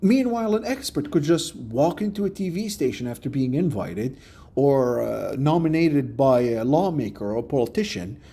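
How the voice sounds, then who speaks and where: A man giving a measured, explanatory commentary for a response video, recorded on a phone. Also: medium pitch, expressive, warm tone, husky.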